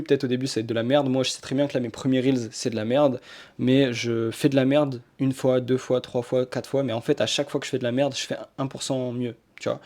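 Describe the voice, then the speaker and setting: Gravelly young man giving pushy advice. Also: mid-range voice, darkish tone. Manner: rambling, repetitive, pushy advice with fillers. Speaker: marketing coach. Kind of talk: casual motivational talk to camera.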